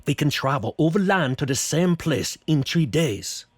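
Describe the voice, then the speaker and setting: An actor playing a grave, commanding older doctor and vampire hunter in a radio horror drama, with a slow, solemn delivery and a husky voice. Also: male voice, fairly high pitch, lively intonation, dry recording, studio quality. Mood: solemn, urgent. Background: soft background music.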